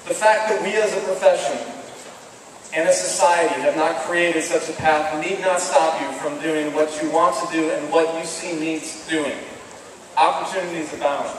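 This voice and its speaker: A man giving a formal commencement address from a podium, speaking in a measured, earnest, deliberate way. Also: fairly high pitch. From American Midwest. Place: large stone hall with flags, indoors. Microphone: podium microphone, filmed from far off. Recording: echoey hall from far off, audible background noise.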